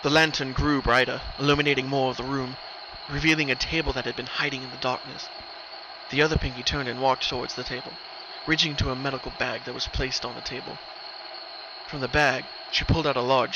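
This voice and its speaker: Man narrating dramatically over clear background noise. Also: fairly even intonation, a little fast, lo-fi sound. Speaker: narrator of horror fan fiction. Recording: noisy recording, rumbling ambient background.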